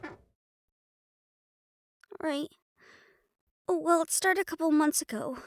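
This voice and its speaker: A woman's voice: sits high, slow pace, no room sound, studio quality. A woman playing an anxious, bewildered young newcomer to the supernatural, hesitant and earnest, in an audio roleplay drama.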